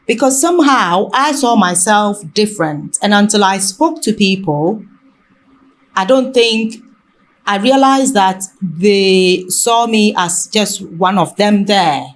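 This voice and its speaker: A woman talking reflectively in conversation, haltingly and often rephrasing mid-sentence. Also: mid-range voice. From Ghana.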